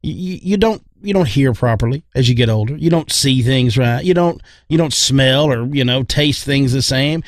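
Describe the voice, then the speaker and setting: A man with a medium-pitched voice talks casually as a podcast co-host. He rambles through his opinions and repeats short phrases for emphasis. The sound is dry and free of echo. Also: normal speed, few pauses.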